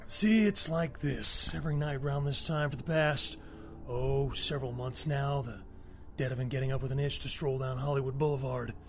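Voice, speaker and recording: A man's voice: mid-range voice, moderate pace, a little hiss or hum, lightly acted. An actor playing a cocky, trigger-happy cemetery caretaker in a video game, wry and colloquial, swaggering as he talks. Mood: wry, boastful. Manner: wry, swaggering, gleeful about violence, colloquial.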